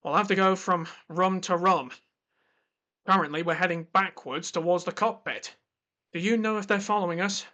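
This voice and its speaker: Man acting a bright-voiced, sceptical ship crewman. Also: sits high, steady tone, normal speed. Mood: matter-of-fact. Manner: matter-of-fact, doubting. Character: practical, sceptical male research ship crewman. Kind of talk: audio drama acting.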